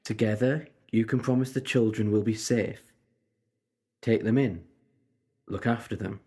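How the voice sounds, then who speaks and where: A man's voice: on the low side, fairly even intonation, measured pace, dark tone, husky. A man playing a kindly, assured time-travelling adventurer in an audio drama, reassuring and breezily understated. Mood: gentle, reassuring.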